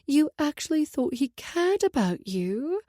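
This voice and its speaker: A woman reading the part of a spiteful young woman who mocks the heroine with slow, drawn-out taunts. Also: on the high side, sing-song, warm tone, no echo, clean studio sound, voice pushed up. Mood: mocking. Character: cool-voiced young woman, spiteful and mocking.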